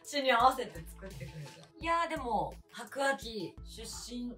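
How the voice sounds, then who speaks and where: A woman chatting casually as a guest on a radio show, animated and giggly. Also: a little high, normal speed, faint music behind. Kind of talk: casual chat.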